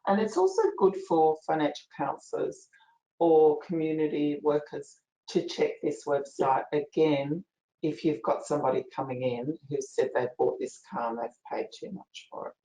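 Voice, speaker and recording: A soft-spoken woman presenting a legal webinar in a chatty, casual way. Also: deep voice, normal speed, echoey room, poor audio. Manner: chatty, with fillers and a ready laugh. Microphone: video call.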